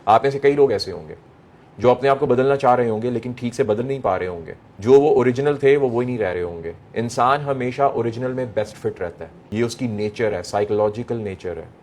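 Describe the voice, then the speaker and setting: A middle-aged man with a Pakistani accent speaks as a motivational lecturer. His pitch sits in the middle of the male range. He drives points home with repetition and rhetorical questions, often slipping into English phrases. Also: a little fast, darkish tone. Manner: mixes English phrases into speech. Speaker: motivational speaker addressing young people.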